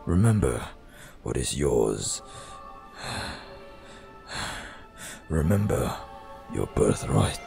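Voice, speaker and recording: Dying old fantasy dad, super low gravelly breathy voice, slow and solemn. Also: male voice, big pitch swings, over music, exaggerated voice. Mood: weak, solemn. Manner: halting, weighty. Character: dying old father, solemn, weak, proud. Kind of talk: dramatic fantasy game acting.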